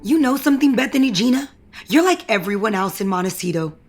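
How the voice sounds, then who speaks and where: A woman's voice: fairly high pitch, expressive, quick pace, slight background noise. An actress playing a scheming, ambitious young woman in an audio soap opera, with a taunting, self-assured and confrontational manner. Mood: scornful. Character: scheming, brazen ambitious young woman, office power player.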